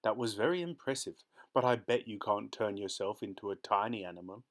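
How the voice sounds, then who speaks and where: A man voicing a clever, polite cat who flatters smoothly. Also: fairly low pitch, a little fast, natural voice. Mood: flattering.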